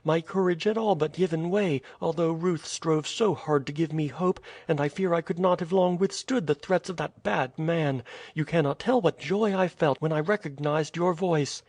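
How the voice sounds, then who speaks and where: A man's voice: a little high, moderate pace, darkish tone, no room sound, voice pushed up. A man voicing a frightened, tender young noble girl in an audiobook, speaking in a pleading, emotional way. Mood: grateful. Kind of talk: audiobook reading.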